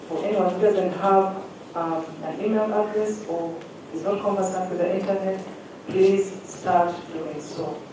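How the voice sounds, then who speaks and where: Adviser in an echoey hall. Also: mid-range voice. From Uganda. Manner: direct, instructive, checks on the audience. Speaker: education adviser. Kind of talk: advice presentation to students. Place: large hall at a careers fair. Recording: echoey hall, distant mic, faint hum.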